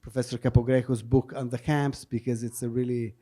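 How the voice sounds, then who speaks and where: A man's voice: medium pitch, level tone, fairly quick, warm tone. Man moderating a public panel. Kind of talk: spontaneous panel answers and spoken translation. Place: live public event with audience questions.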